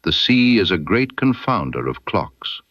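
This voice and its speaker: Man playing Victorian doctor and detective's companion for audiobook. Sober, candid, low-pitched, formal and expressive. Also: unhurried, no echo, dull audio, exaggerated voice. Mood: earnest.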